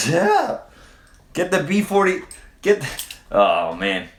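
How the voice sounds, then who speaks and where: Easygoing man from Quebec, roomy sound. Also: a little high. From Quebec, Canada. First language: French. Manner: loose and hesitant, many fillers and swearing. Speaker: livestream host. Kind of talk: casual livestream Q&A answering chat. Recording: roomy echo.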